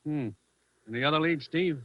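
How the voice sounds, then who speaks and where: A man playing a brisk, exclamatory commissioner, boss to an agent, in 1940s radio drama style. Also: fairly high pitch, lightly acted. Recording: noisy, dull old radio recording.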